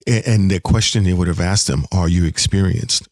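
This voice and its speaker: A man's voice: sits low, normal speed, a little mellow, dry recording, clean studio sound. A middle-aged man co-hosting a podcast in casual, conversational talk about a TV show. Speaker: podcast co-host. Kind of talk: casual TV episode discussion.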